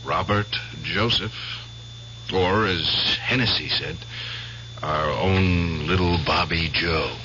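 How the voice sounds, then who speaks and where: A vengeful old-army buddy in a radio drama. His voice is very low and tense, with sardonic, deliberate phrasing. The recording is dull, with faint music underneath. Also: pushed and bright, a little hiss or hum, heavily acted. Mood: intense, resolved. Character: obsessed, vengeful middle-aged war veteran.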